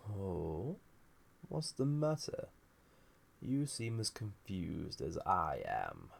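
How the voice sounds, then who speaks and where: Casting: male actor as an ancient banished sorcerous villain for audio roleplay; husky, gravelly, theatrical, with wide swings of intonation. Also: deep voice, big pitch swings, very slow, heavily acted. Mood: bemused, wry. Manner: musing, theatrical, drifting into breathy near-whispers. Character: ancient banished male villain, magical being, wry, flirty, menacing. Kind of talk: scripted audio roleplay, intimate monologue to listener.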